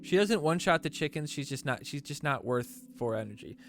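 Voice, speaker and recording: A man's voice: a little high, animated, brisk, fairly bright tone, soft background music. A man hosting a gaming live stream in casual commentary, sounding stuffy and rambling with lots of fillers and restarts. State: stuffy nose.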